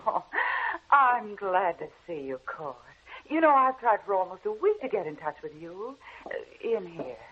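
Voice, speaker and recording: A woman's voice: mid-range voice, sing-song, a little slow, fairly bright tone. A woman playing an eager, welcoming hostess in a 1940s radio drama. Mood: relieved welcome. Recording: muffled, slightly noisy 1940s radio broadcast.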